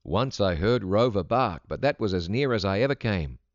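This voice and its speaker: A man's voice: fairly low pitch, animated, normal speed, dry recording, highs cut off. A weary storybook father and hunter , acted by a man. He speaks tersely and plainly, in a matter-of-fact way, with very little room sound.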